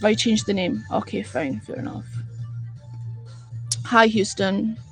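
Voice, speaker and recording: A woman's voice: medium pitch, slow, soft background music, low-quality recording. A woman from Edinburgh with a Scottish accent, hosting a casual live stream and chatting bluntly and exasperatedly with viewers, swearing freely. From Scotland. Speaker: livestream host.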